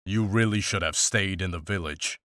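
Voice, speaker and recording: An actor playing a grim, dry-witted monster hunter in a fantasy visual novel, speaking in a measured, formal, deadpan way. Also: male voice, deep voice, no room sound. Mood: dry, grave.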